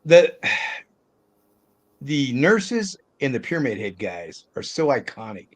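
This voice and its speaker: A man with a mid-pitched voice talks casually about films as part of a panel. His delivery is hesitant and halting, and his phrases often trail off. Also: big pitch swings. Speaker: podcast panelist discussing films. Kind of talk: casual chat.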